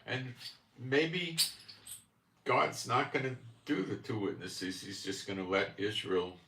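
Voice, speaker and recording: A man's voice: mid-range voice, steady tone, takes it slowly, vocal fry, sharp tone. A man who grew up in America, hosting a casual live stream and musing aloud in short, broken phrases. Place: home room in Israel. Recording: echoey room, far from mic.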